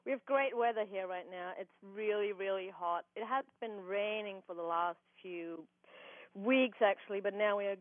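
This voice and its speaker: A woman's voice: mid-range voice, moderate pace, no room sound. A young woman with an Austrian accent talks with a giggle that keeps breaking through. She fills her thoughts with ums. Her voice comes through a tinny, dry phone line. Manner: giggly, laughs often, frequent ums. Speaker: guest. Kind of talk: casual phone interview.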